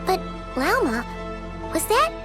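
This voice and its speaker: A woman voicing a small, childlike, excitable fairy companion with a squeaky voice in a video game. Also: takes it slowly, exaggerated voice. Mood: concerned, helpful. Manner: exclamatory, refers to self in third person. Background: music.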